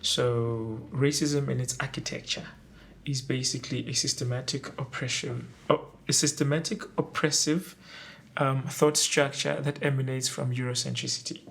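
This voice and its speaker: A man who is an academic, speaking in a documentary interview in a lecturing way with frequent pauses. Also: mid-range voice, little pitch movement, gravelly, a bit bright. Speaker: academic and PhD researcher.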